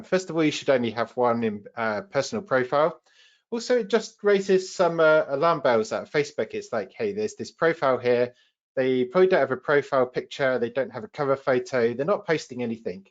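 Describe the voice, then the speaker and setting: A man with a British accent hosts a live online training session, explaining things in an informal, practical way as the trainer. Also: mid-range voice. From United Kingdom. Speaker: webinar host and trainer. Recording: slightly dull webinar audio.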